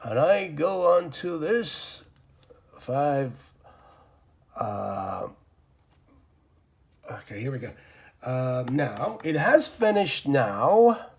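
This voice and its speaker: Persian guy teaching english grammar at his desk, slow and chatty, lots of ums, pitch jumps all over. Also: very animated. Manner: rambling, lots of fillers and self-talk while drawing. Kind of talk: informal grammar lesson.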